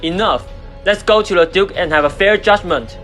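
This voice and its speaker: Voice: mid-range voice, normal speed, fairly bright tone, natural voice. Stern, stubborn father played by an amateur, music underneath. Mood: angry, commanding. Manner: commanding, indignant. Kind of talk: amateur radio drama acting.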